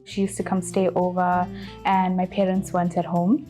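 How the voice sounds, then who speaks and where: Shy young woman telling how they met, gentle voice, soft guitar under it. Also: fairly low pitch, completely flat delivery, normal speed. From South Africa. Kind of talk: casual interview storytelling.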